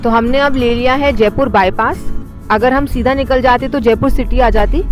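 Upbeat woman vlogger, Indian accent, a touch high, talking over background music. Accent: Indian English. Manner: chatty, mixes Hindi and English, gives tips. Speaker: travel vlogger. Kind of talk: casual travel narration. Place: inside a car on a highway. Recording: music playing under the voice.

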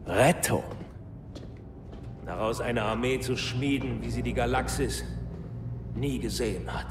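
An actor playing a cold, gloating, vengeful officer in a video game cutscene, speaking in a measured, deliberate way. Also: male voice, mid-range voice, noisy background, lightly acted. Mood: proud, gloating. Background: music.

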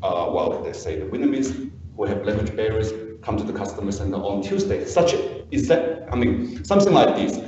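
A city councillor speaking with halting restarts and many "like" fillers at a council meeting, as a second-language speaker of English. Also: male voice, on the low side, expressive, warm tone, far from the mic, highs cut off, a little hiss or hum. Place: large formal council chamber. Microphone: far room mic. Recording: echoey chamber picked up from afar.

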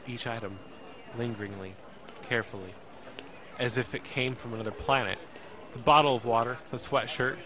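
A man's voice: mid-range voice, lightly acted. An actor as a shaken young man narrating, crowd behind. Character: frightened young man recounting a tense airport ordeal. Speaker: narrator of an audio drama. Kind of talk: first-person dramatic narration. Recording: busy airport crowd behind.

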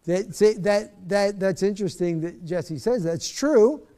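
A man's voice: high voice, a little slow, mellow. A middle-aged man, a pastor, teaching a bible study from a church stage in a conversational way, often repeating words as he searches for them.

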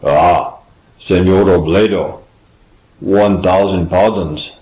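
An actor playing a timid, servile old tavern keeper, humble and quavering, in a dramatised audiobook. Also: male voice, deep voice, slow pace, a little mellow, roomy sound, low-quality recording. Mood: timorous, servile.

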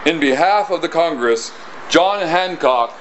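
A middle-aged American man playing a solemn colonial townsman, reading formally in a projected, measured, declamatory way. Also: a little high, lively intonation, fairly bright tone. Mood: solemn, proclaiming. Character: solemn colonial townsman proclaiming a public document. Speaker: costumed historical reenactor. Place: outdoors on a stone house porch. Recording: muffled outdoor recording with clear ambient noise.

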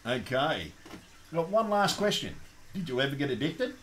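A man's voice: medium pitch, very animated, normal speed, a bit bright, slight background noise. Rambling Australian man hosting a call-in. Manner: rambling, chatty, frequent ums and restarts. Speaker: call-in show host. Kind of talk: casual call-in chat.

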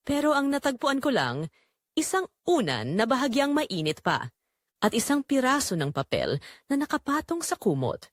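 Woman narrating as wistful young man, expressive pitch. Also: moderate pace, no echo, studio quality, full character voice. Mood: wistful, intimate. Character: wistful young man recalling a secret romance. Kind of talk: scripted first-person audio drama narration.

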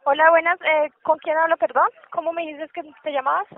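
Mujer de voz aguda por línea telefónica. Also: female voice, sits high, little pitch movement, a little fast. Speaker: telemarketer selling electricity offers. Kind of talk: sales phone call. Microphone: telephone. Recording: muffled, tinny phone line.

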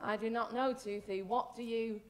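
Deadpan male expert acted by a woman, world-weary, slow, fairly high voice, stage comedy, heavy noise. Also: lightly acted. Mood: deadpan, unimpressed. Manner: deadpan, dry. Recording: very noisy theatre stage recording.

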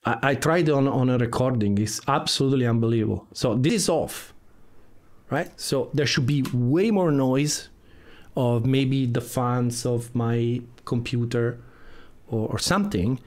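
Male streamer, forties, mid pitch, casual and halting delivery at a desk mic. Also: darkish tone. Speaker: live streamer, game developer. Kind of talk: casual live stream chat. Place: home room with guitars on the wall. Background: computer keyboard typing at times.

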